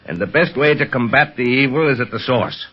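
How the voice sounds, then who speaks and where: A man acts out an authoritative American police chief from a 1930s radio serial. He speaks formally and earnestly at a middle pitch, weighing his phrases. The sound is dull and band-limited, like an old broadcast. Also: slightly rough, natural voice. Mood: earnest, urgent. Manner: formal, deliberate, weighty delivery.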